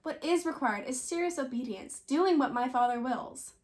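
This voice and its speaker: A woman with a clearly high-pitched voice reads scripture aloud. Her delivery is that of a prepared recitation from a written text. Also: animated, moderate pace. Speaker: scripture reader.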